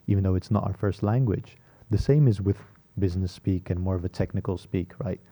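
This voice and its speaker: A podcast host, a man whose first language is Dutch, reacts and builds on his guest's points in a casual way, often adding "right?" to them. His voice is very deep and sits low, and the tone is very dark. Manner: conversational, frequent tag questions "right?". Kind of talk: podcast interview.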